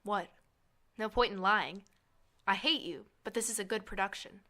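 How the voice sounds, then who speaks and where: A woman plays a wounded, resentful young student in an audio drama. Her voice is bright and tense at a middling pitch, sharp yet vulnerable. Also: normal speed, close to an everyday voice. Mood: bitter, defiant.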